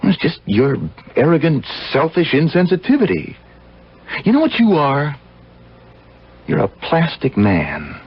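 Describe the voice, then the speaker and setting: An actor playing an earnest, disapproving young American psychiatrist in a 1970s radio drama, with a husky, reproving voice. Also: male voice, fairly high pitch, sing-song, measured pace, long pauses, exaggerated voice. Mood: stern warning. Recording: dull 1970s radio recording.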